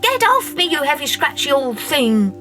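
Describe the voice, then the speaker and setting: A woman's voice: sits high, sing-song, measured pace, over music, pitched above the performer's own voice. A woman voicing a stubborn, restless little girl in a storybook reading, with a whiny, squealing manner. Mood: cross.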